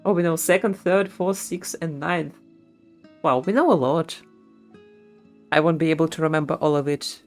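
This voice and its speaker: Girl streamer casually reacting to a game, slightly low voice, soft music behind. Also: moderate pace, long pauses. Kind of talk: casual live reaction commentary.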